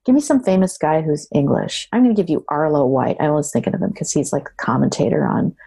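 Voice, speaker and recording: A woman's voice: low voice, sing-song, fast, vocal fry, mellow, slightly rough. A woman from the United States speaking as a podcast guest, with a dry, wry manner. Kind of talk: conversational interview.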